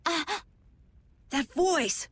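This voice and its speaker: A woman's voice: high-pitched, animated, drawn-out words, fairly bright tone, exaggerated voice. An actress voicing an earnest young boy who pleads emotionally. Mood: pleading. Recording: game audio with music.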